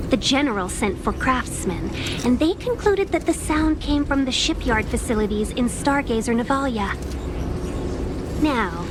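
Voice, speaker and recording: A courteous young female official from a video game, dutiful and composed. Her high voice moves through lively melodic contours as she speaks formally over clear background music. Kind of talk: scripted game dialogue. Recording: music behind the voice.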